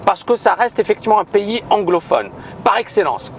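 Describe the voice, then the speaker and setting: A man's voice: high voice, sing-song, brisk, a little hiss or hum. A man who founded a trading school makes an emphatic video announcement, repeating himself and hesitating often. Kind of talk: spoken video announcement to viewers.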